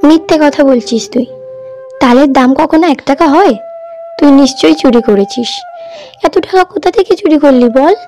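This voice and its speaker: A woman's voice: high voice, expressive, normal speed, mellow, faint music behind. A woman voicing a stern, worried elder sister from a poor village in a cartoon, given to scolding and questioning. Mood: accusing. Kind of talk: cartoon voice acting.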